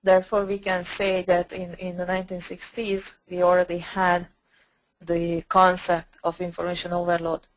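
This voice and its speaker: A woman walks through slides in a webinar. Her voice sits a little low and stays flat in pitch. She often repeats a word before going on. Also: moderate pace, poor audio. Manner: repeats words while finding phrasing. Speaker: presenter.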